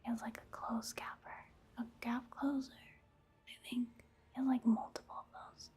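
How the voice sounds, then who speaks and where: A woman softly whispering casual ASMR gameplay commentary in a breathy, hushed, unhurried way. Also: a little high, level tone.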